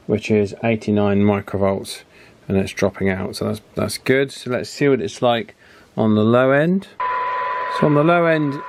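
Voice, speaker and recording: A man giving a casual, hands-on repair demonstration, chatty and unhurried, thinking aloud as he works. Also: medium pitch, slight background noise. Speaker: radio repair video presenter. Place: indoor electronics repair bench.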